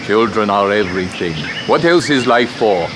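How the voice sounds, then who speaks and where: An actor playing a philosophical African village hunter and guide who speaks in plain, proverb-like phrases in a radio adventure drama. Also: male voice, on the low side, animated, normal speed, natural voice. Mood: cheerful, philosophical. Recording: noisy outdoor sound effects behind.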